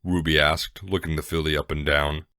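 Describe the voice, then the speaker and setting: A man's voice: a bass voice, flat delivery, normal speed, pushed and bright, clean tone, dry recording, clean studio sound. A man narrating a scripted story as a dramatic reading.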